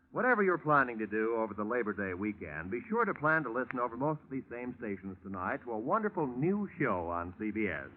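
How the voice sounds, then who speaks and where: Animated American announcer on tinny old radio. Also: male voice, medium pitch. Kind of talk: 1940s scripted radio announcing. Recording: tinny, noisy 1949 radio recording.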